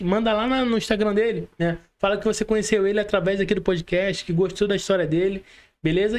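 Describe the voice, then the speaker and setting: A Brazilian man hosting a casual podcast, speaking colloquially to the audience as friends and often adding little tag questions. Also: high-pitched. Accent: Brazilian Portuguese. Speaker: podcast host.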